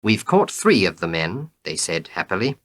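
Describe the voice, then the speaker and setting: A man's voice: deep voice, sing-song, normal speed, fairly bright tone. A man reading short tales as scripted narration, in an orderly storytelling manner. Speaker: storybook reader.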